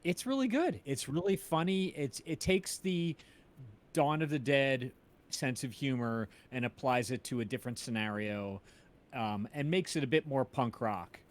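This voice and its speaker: Middle-aged man, casual and halting. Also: mid-range voice. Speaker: interviewer on a film podcast. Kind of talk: casual film chat on a livestream. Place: indoors at home, on a group video call.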